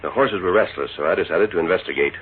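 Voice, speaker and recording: Man playing a deep, brisk cowboy hero. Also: natural voice. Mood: resolute, watchful. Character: calm, resolute masked cowboy lawman hero. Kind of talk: 1940s radio western acting. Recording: tinny, hissy 1940s radio recording.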